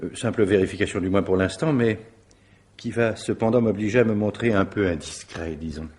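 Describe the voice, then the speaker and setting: A deep husky police inspector, super polite but probing, radio mystery drama. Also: male voice, a little mellow. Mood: probing, formal. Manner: formal, measured, insistent politeness. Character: courteous, probing, persistent police officer.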